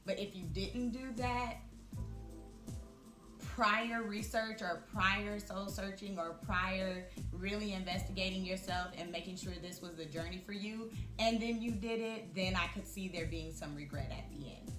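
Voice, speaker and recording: A woman in her thirties talking to camera in a reflective, chatty way, often pausing with fillers. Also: medium pitch. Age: 30s. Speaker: vlogger. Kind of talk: casual talk to camera. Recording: echoey room with music behind.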